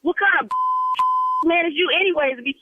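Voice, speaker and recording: A woman's voice: very high voice, a little fast, noisy background. Fired up woman arguing on the phone, defiant, keeps repeating herself, tinny line. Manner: defiant, combative, repetitive. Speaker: prank call target. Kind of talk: heated phone argument.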